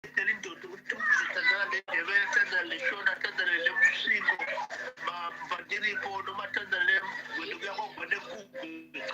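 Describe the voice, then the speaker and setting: An older man on a call, giving animated advice on love and respect between people. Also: sits high, fast, muffled recording, over music. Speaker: caller. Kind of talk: call-in over phone.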